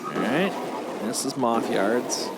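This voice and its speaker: A man chats casually as he plays a video game. His pitch moves around a lot as he thinks aloud and jokes. Loud game and vehicle noise runs behind him. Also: lively intonation, slow pace. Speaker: game streamer. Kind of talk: casual gameplay commentary with a co-player. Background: loud video game sound with vehicle noise.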